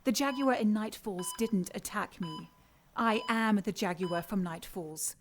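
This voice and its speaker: An actress playing a condescending scientist villain in a radio drama, clinical and taunting as she addresses her captive in an unhurried way. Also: mid-range voice, close to an everyday voice. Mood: coolly menacing.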